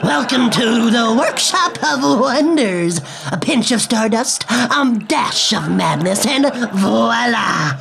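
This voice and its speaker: Lady doing a crazed jester wizard villain, shrill and cackling, wild swoops, grandiose radio play style. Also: lively intonation, takes it slowly, vocal fry, hardly any pauses, over music, exaggerated voice. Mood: gleeful, manic. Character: manic male jester mage, gleeful theatrical villain chemist. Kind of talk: noir fantasy radio play.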